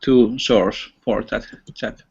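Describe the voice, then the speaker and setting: A man's voice: medium pitch, steady tone, slow, darkish tone, husky. A man with a Finnish accent in a casual online voice chat, speaking hesitantly with many fillers and restarts. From Finland. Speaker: chat participant. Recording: dull lo-fi online voice chat audio.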